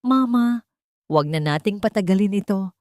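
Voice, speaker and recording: A woman's voice: medium pitch, lively intonation, normal speed, darkish tone, dry recording, studio quality, pitched above the performer's own voice, lightly acted. Woman as a cold, scheming grown daughter. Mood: threatening. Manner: coaxing with veiled threat.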